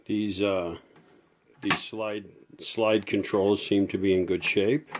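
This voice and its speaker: Guy with a fairly low voice doing a casual repair walkthrough, kinda folksy and rambling. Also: steady tone, takes it slowly, noisy background. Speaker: radio repairer presenting a restoration video. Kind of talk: casual, unscripted hands-on walkthrough.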